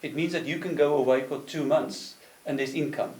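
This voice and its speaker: Male guest, earnest, a touch high, distant and echoey. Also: darkish tone. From South Africa. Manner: earnest, slightly halting phrasing. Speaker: business consultant guest. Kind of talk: casual interview chat. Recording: echoey room, off mic.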